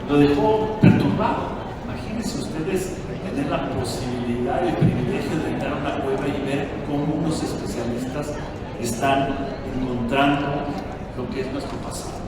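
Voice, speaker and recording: A man's voice: a little high, far from the mic, heavy background noise. A man with a Mexican accent, moderating a live stage talk, playful and full of little "right?" tags. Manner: warm, playful, with many filler "¿no?" tags. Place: large event hall. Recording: echoey hall, mic heard from afar.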